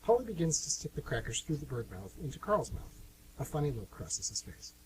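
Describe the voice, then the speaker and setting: A man's voice: a little high, big pitch swings, a little fast, poor audio. A man hosting and narrating a scripted amateur radio play. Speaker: host and narrator. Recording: amateur radio with clear hum noise.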